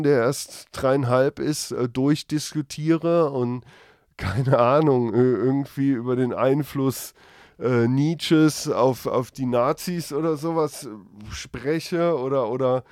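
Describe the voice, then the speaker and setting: German male podcast host, sweary and rambling comic monologue, mid pitch, very dry sound. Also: darkish tone, no room sound. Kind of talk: casual comedic monologue.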